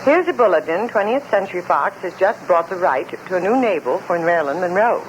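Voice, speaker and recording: A woman's voice: fairly low pitch, expressive, fairly quick, muffled recording. A woman reading announcements as a radio announcer on a vintage recording. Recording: noisy old radio recording.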